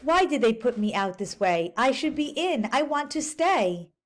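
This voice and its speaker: A woman's voice: high-pitched, sing-song, normal speed, voice pushed up. A woman voicing an eager spotted show-off creature. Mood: pleading. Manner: bouncy rhyming storybook delivery.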